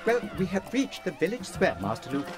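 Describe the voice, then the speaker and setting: Casting: anxious, deferential droid; prim formal diction, high and very animated, radio play with music. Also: male voice, fairly quick. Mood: flustered, apologetic. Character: fussy, anxious, courtly male protocol droid translator. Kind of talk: radio drama acting. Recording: music behind radio drama dialogue.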